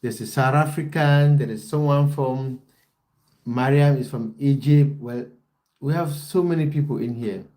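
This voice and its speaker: A man's voice: medium pitch, measured pace, mellow. A man from Cameroon, a football coach chatting on a livestream and reading out viewer comments. He repeats his phrases and talks straight to his viewers.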